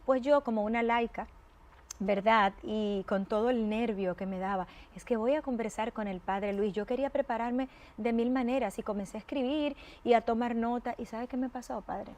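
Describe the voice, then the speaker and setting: A woman's voice: fairly high pitch, moderate pace, a little mellow. Effusive woman hosting faith show. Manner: effusive, reverent, lingering on pious phrases. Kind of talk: warm devotional interview.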